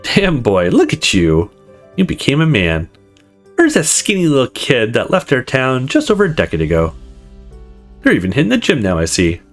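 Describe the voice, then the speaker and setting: Man voicing a warm, teasing woman, swooping pitch. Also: wildly swooping pitch, moderate pace, faint music behind. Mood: delighted, teasing. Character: warm teasing adult woman, old family friend. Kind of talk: visual novel character read-aloud.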